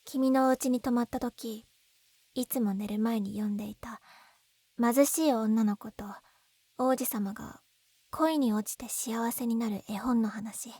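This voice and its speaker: A woman acts out a gentle, lovesick young woman in a binaural audio drama. Her voice is high and breathy, often slipping toward a whisper. It is recorded very close and dry, with emotional, intimate delivery. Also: steady tone, unhurried, no room sound. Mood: sad, resigned. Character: lovesick young woman, gentle, tearful, pleading with childhood friend. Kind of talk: Japanese ASMR-style situation voice roleplay. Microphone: close binaural dummy-head microphone.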